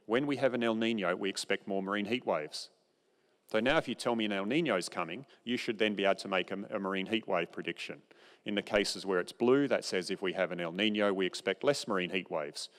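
A middle-aged man giving a conference keynote lecture from a podium on a large stage, explaining in an informal, conversational way. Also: lowish voice. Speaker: keynote speaker. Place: large dark auditorium stage. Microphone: podium microphone, far camera. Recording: long hall reverb.

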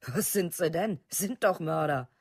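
An actor playing a bitter, indignant parent in a documentary radio drama, husky and insistent. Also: mid-range voice, expressive, a little slow. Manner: insistent, repetitive questioning.

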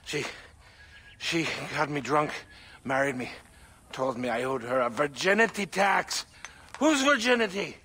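A man's voice: a little high, lively intonation, unhurried, bright, forceful tone, slightly rough, full character voice. An actor playing a drunk, bewildered young Roman man with a slurred, rambling way of talking. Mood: panicked.

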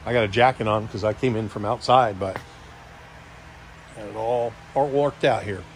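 A man's voice: lowish voice, moderate pace, slight background noise. Folksy man giving an offhand property tour. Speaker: real estate agent giving a property tour. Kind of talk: casual unscripted walkthrough commentary.

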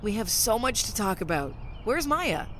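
A woman's voice: medium pitch, big pitch swings, normal speed, a bit bright, a little hiss or hum. A woman playing a chatty, caring young lawyer in an amateur audio drama. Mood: excited. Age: young adult.

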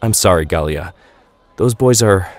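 A man voicing a furious, overwhelmed mother who rants in a shrill, exasperated way, with his voice pushed well above his own low pitch. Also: expressive, a little slow, no echo. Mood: disgusted.

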